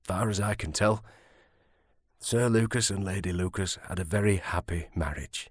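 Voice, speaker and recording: Gentle stableman, dry sound. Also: low-pitched, normal speed, slightly rough, no room sound. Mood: careful, hesitant. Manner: plain-spoken, hesitant, respectful. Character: gentle, deferential stableman on a moorland estate. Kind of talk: period audio drama acting.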